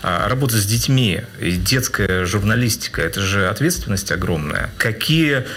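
A man's voice: lowish voice, animated, moderate pace, fairly bright tone. A man interviewing a guest, earnestly framing a long question before asking it.

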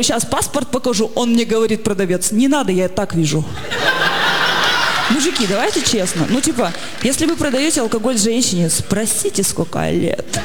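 A woman in her forties doing a chatty, self-mocking stand-up comedy monologue. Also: mid-range voice. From Russia. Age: 40s. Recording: long hall reverb, audience noise.

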